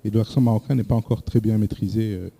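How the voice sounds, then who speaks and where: A male presenter lecturing a live audience, hesitant, often repeating words and filling pauses, asking the room questions. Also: lowish voice, steady tone, vocal fry, warm tone, slightly rough. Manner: addresses the audience directly with questions. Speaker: workshop presenter.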